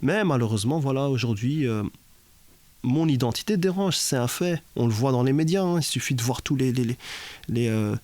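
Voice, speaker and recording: A man's voice: mid-range voice, animated, normal speed, a little mellow, no echo. A man from Belgium talks in a podcast conversation, his voice in a middle register. He hesitates often and fills gaps with fillers, and the recording is dry with no room sound. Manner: hesitant, searching for words, many fillers. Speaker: guest sharing his experience.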